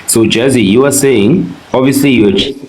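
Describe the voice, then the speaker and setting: A man hosting a panel debate, firmly cutting in to restore order and ask clear questions. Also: mid-range voice, moderate pace, darkish tone, slight background noise, low-quality recording. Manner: firm, keeps cutting in with "wait". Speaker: host, moderator. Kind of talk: moderating a debate.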